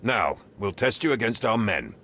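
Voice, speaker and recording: An actor playing a stern, grudging police training sergeant in a video game, gruff and condescending, with a gravelly voice. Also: male voice, lowish voice, sing-song, normal speed, bright, forceful tone, exaggerated voice. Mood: stern instruction.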